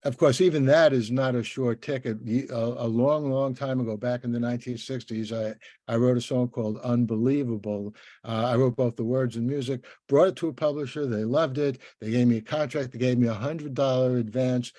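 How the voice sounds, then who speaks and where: Mellow-voiced older man interviewing. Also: mid-range voice, steady tone, a little fast, no echo. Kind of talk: conversational interview.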